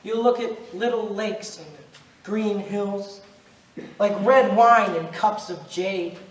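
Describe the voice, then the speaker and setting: A man's voice: sits high, fairly even intonation. A young man playing a grave, relentless, centuries-old stranger in an amateur stage play, speaking in a solemn, declamatory way. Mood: ominous, mournful. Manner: solemn, deliberate, declamatory storytelling. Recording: roomy, noisy stage recording from far off.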